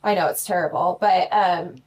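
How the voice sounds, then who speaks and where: A woman in her forties talking as a podcast guest in a casual live chat, blunt, brash and quick to mock herself. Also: fairly low pitch, steady tone, darkish tone, a little hiss or hum. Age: 40s. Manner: blunt, brash and self-mocking.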